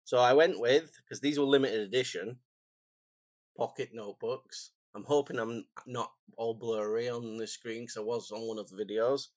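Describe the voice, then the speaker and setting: A man talks his way through a notebook unboxing, his voice croaky and phlegmy from a cold. He rambles in a relaxed, self-deprecating way, in a middling pitch. The sound is dry and close, with no room echo. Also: moderate pace. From England. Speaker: stationery vlogger. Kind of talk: casual unboxing chat. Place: home desk, indoors.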